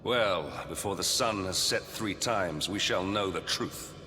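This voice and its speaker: An actor playing a stern, menacing male interrogator in a video game cutscene, speaking slowly and with imposing deliberation. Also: bright, forceful tone. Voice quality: deep, slow, imposing. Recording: game cutscene with long reverb and music.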